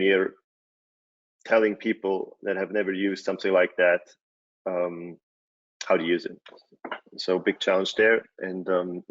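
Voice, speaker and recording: A man from Switzerland gives a relaxed conference talk, pausing on fillers and restarting his sentences. His voice sits very low and stays flat, and the sound is dull and lo-fi, as over a video call. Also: gravelly. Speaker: conference speaker, company CEO. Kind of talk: presentation talk.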